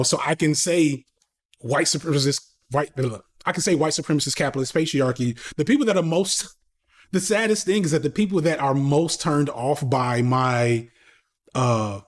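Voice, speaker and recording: A man in his thirties, a podcast guest talking casually and candidly, with slang, swearing and frequent restarts. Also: a little high. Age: 30s. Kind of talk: casual conversational interview.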